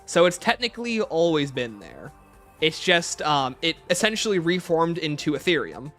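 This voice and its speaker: A man's voice: fairly high pitch, sing-song, normal speed, fairly bright tone, faint music behind. A man hosting a casual livestream, reading out chat questions and answering them conversationally, breaking off to greet and thank viewers. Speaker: livestream host.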